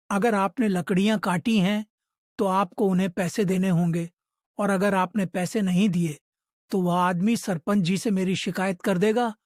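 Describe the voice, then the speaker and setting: A man's voice: high voice, darkish tone, no room sound, studio quality. A man playing a humble, anxious woodcutter. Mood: troubled. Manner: pleading, deferential. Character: poor, humble, anxious middle-aged village woodcutter father. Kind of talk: Hindi moral cartoon dialogue.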